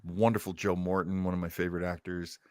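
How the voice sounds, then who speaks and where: A man hosting a casual podcast chat, enthusiastic and quick to prod others with questions. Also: deep voice, little pitch movement, dry recording, clean studio sound. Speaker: podcast host. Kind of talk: casual chat about old radio and films.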